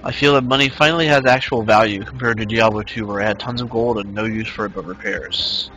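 A man in his twenties chats loosely through a game stream and stops now and then to greet viewers from the chat. His slightly low voice has a bright, forceful edge, and soft game music plays behind him. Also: fairly even intonation, brisk, muffled recording. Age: 20s. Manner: rambling, reads chat aloud, many fillers. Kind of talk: casual live-stream chat while gaming.

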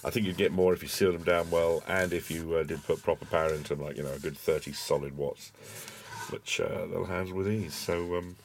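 A middle-aged British man talks casually through a product review with wry, colloquial asides. His voice is very low and noticeably gravelly. It is recorded on a camera at a desk, with faint background noise and small clicks. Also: steady tone, a little fast. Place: small cluttered indoor desk workspace.

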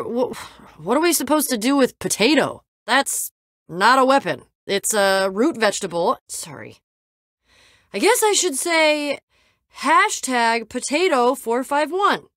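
Young adventurer girl from a video game, guarded but sensitive, halting emotional talk, very dry close sound. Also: on the high side, a bit bright, dry recording, full character voice. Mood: incredulous, flustered. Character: guarded young woman adventurer, sensitive, fears being abandoned.

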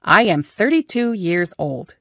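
A woman with a low voice repeats short practice phrases, each one slowly and carefully. Her pitch rises and falls a lot. The recording is dry and close. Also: very animated, fairly bright tone, no echo. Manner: slow, careful phrase repetition. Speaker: English teacher. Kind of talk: repeating phrases for language learners.

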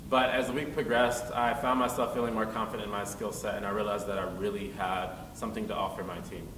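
Young man, low voice, sincere, distant mic, rumble. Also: flat delivery, fast, fairly bright tone, echoey room, long reverb tail. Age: young adult. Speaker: student.

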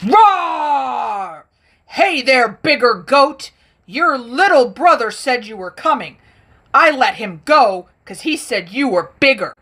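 A greedy male troll from a children's storybook, voiced by a woman, roaring his threats in slow, drawn-out words. His voice swings widely up and down as he boasts about gobbling up goats. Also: high voice, expressive, a bit bright, husky, voice pushed up, exaggerated voice. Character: hungry boastful male troll guarding a bridge.

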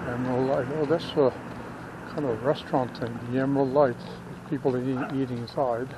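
A man's voice: mid-range voice, steady tone, fairly quick. A middle-aged man, casually commenting on a walking tour and reading out signs as he passes them. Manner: muttering, reads signs aloud, trails off. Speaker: vlogger filming a walking tour. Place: outdoors on a small-town street. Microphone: body-worn action camera. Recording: loud street traffic noise outdoors.

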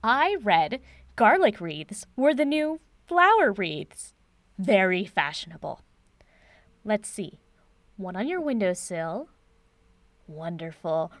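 An actress playing an eccentric, cryptic woman professor in an audio drama, breezy and theatrical with deadpan whimsy. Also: mid-range voice, takes it slowly, pauses often, no room sound. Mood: gleefully eccentric.

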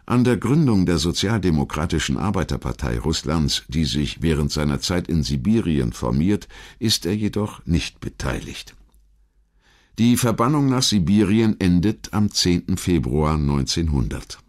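Deep-voiced male documentary narrator. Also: sing-song, moderate pace, a little mellow. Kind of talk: scripted documentary audiobook narration.

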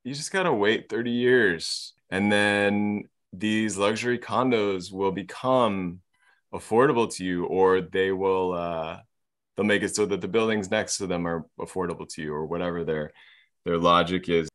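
Low-voiced man, rambling and sardonic. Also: steady tone, moderate pace, a bit bright. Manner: rambling, many fillers, sardonic. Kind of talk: casual conversation.

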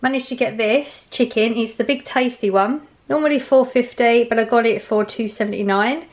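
A woman's voice: fairly high pitch, moderate pace. Chatty woman rambling about bargains. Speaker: vlogger. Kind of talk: casual grocery haul chat. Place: home kitchen, indoors.

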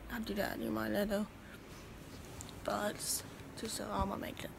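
A tired young woman talking slowly into a phone held close, rambling and half-whispering in a small room. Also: mid-range voice, level tone, gravelly, noisy background. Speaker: vlogger. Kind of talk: casual selfie video chat.

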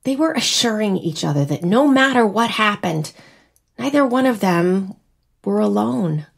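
An actress playing a wistful, teasing young bride in a naturalistic audio drama. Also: female voice, mid-range voice, animated, unhurried, vocal fry. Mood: tender, earnest. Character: wistful, teasing young bride whose wedding was cancelled.

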